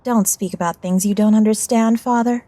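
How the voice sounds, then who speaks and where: A woman's voice: high-pitched, sing-song, measured pace, no room sound, exaggerated voice. An actress playing a secretive, defiant, superstitious teenage girl in a radio drama.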